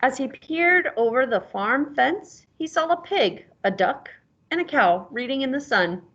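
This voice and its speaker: Slightly husky woman reading aloud, higher-pitched. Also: expressive, unhurried, a bit bright. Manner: conversational, explains words, checks understanding. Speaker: read-aloud teacher. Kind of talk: picture-book read-aloud with questions. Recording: dull lo-fi recording.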